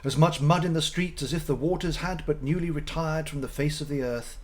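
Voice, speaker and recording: A man narrating classic literature as a dramatic audiobook, with theatrical, deliberate phrasing and pauses for effect. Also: fairly high pitch, darkish tone.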